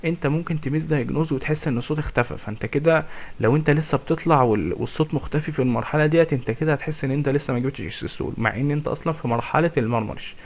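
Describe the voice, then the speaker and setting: A young man with an Egyptian Arabic accent giving a fast exam revision lecture on medicine, with many fillers and restarts, and often asking his listeners questions. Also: fairly high pitch. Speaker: medical tutor.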